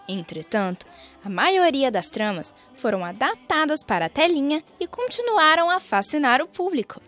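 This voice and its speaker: Young brazilian woman doing radio doc narration, highish lively voice, faint music behind. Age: young adult. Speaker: documentary narrator. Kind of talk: scripted radio documentary narration.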